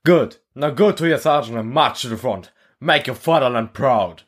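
A man voicing a fiery army drill instructor who gives a rousing, commanding speech with rising shouts. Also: medium pitch, moderate pace, husky, voice pushed up, natural voice.

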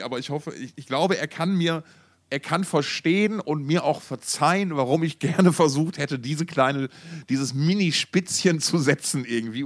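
A middle-aged man co-hosting a podcast, chatting casually about music in a rambling, wordy, jokey way. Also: a little high. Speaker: podcast co-host.

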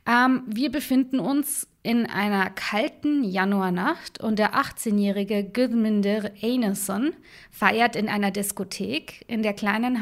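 A woman co-hosting a true crime podcast, telling the case in a relaxed, conversational way with fillers and asides. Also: mid-range voice. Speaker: podcast co-host.

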